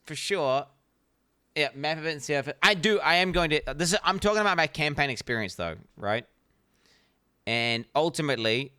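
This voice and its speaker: NZ-accented male streamer, profane and rambling, crisp clear tone. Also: fairly low pitch, pushed and bright, no echo. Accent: New Zealand. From New Zealand. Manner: blunt, profane, rambling with many restarts. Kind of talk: casual reaction stream commentary.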